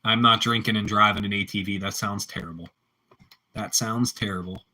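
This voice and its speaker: Bright-voiced man with a Pittsburgh accent. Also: on the low side, steady tone, normal speed. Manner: rambling, repeats himself, talks back to chat. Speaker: livestreamer chatting with viewers. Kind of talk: casual live-stream chat.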